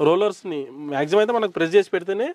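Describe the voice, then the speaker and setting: A man's voice: sits high, racing, darkish tone. A young man, a dairy farmer, explaining his machine in a plain, practical way outdoors on his farm. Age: young adult. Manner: plain, practical, some restarts. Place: outdoors on a farm beside a tractor.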